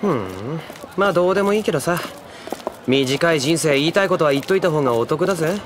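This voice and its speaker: A man playing a cocky, impatient teenage delinquent in an anime audio drama, talking in rough slang. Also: on the high side, animated, fast, background noise, natural voice. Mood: cocky, casual.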